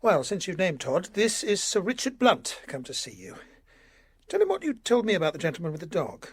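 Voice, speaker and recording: An actor playing a protective, reassuring gentleman and storyteller, gentle and measured, in a period audio drama. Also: sits high, wildly swooping pitch, a little hiss or hum. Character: reassuring, protective gentleman who also narrates the tale.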